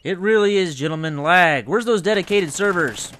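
A man's voice: high voice, animated, normal speed, a bit bright. A man narrating a game video as a mock promotion, with tongue-in-cheek, reverent hype.

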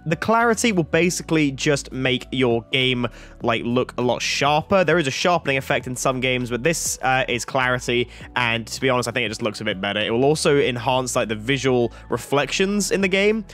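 A man with a croaky voice giving a chatty tutorial voice-over, full of fillers like "like" and "uh". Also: medium pitch, animated, brisk, a bit bright. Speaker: flight sim YouTuber.

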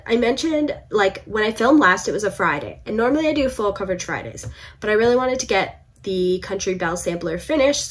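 A young woman with a congested, stuffy voice, chatting casually about her cross stitch projects from a home room, with lots of fillers and restarts. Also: mid-range voice. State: congested from a cold. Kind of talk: casual chat to camera.

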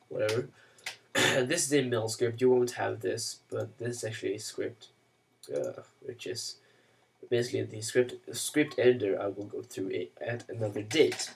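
A man who grew up speaking Swedish, walking through software steps in a loose, self-mocking way with plenty of fillers. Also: medium pitch, gravelly, recorded from a distance. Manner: rambling, self-mocking asides, many "uh" and "you know". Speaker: software tutorial maker. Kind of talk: casual screen-recorded software tutorial.

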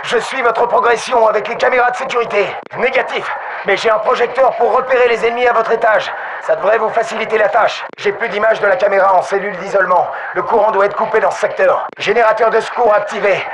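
Casting: a gruff, high-pitched soldier for a military shooter, terse and commanding, noisy radio-style audio. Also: male voice, fairly even intonation, fast, highs cut off. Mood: focused, brisk. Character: gruff, focused male special forces soldier. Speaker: video game voice actor. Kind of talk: military game dialogue, radio callouts.

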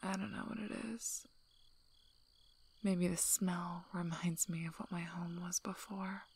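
Woman as slow, husky werewolf alpha, softly spoken. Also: lowish voice, flat delivery. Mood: quiet, troubled. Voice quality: husky, breathy, often near whisper. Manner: hesitant, halting, understated. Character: guarded, gruff but caring young female werewolf alpha. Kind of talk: soft-spoken ASMR roleplay.

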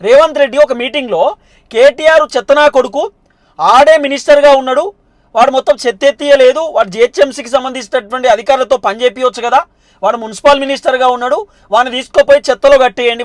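A male news presenter with a Telangana Telugu accent gives informal commentary in a very high, quick voice. The sound is close and dry, and he circles back to explain his points again. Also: no room sound.

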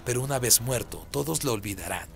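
A man's voice: medium pitch, expressive, a little fast, gravelly, slight background noise. A man voicing a proud, scornful fallen angel who muses darkly in a radio drama. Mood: brooding, scornful. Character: Lucifer, brooding proud male fallen angel.

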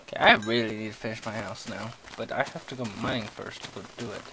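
A young man giving goofy, self-mocking commentary while playing a game, yelling at monsters and talking to himself. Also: on the low side, quick pace. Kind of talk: let's play commentary. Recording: noisy home recording.

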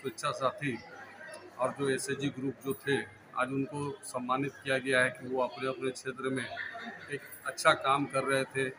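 A man's voice: medium pitch, normal speed, background noise. A man in his forties speaks formally into a handheld mic at an indoor town hall event. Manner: formal, flat delivery. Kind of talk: news interview. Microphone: handheld reporter mic. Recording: loud hall ambience behind.

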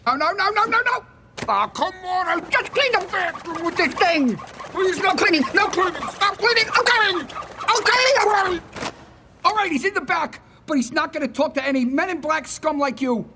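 An actor playing a foul-mouthed alien bowling alley owner whose head has been pulled off, shrill and threatening. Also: male voice, expressive, moderate pace, very noisy. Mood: panicked.

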